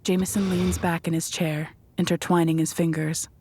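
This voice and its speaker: A woman narrates a horror audio drama from a script. Her voice is fairly low and stays very even while telling dramatic scenes, over faint background noise. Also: normal speed.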